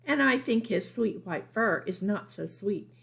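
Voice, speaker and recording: A woman's voice: mid-range voice, lively intonation, a little slow, gravelly, a bit bright, heavily acted. A woman voicing a haughty, disdainful young mouse cousin in a children's storybook, exaggerated and emphatic. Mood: disgusted. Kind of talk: read-aloud children's storybook.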